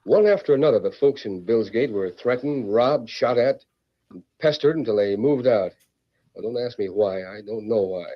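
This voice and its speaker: A man's voice: mid-range voice, expressive, moderate pace, close to an everyday voice. A man playing a plainspoken frontier rancher with folksy western phrasing in an old-time radio drama. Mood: troubled. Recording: muffled old radio recording.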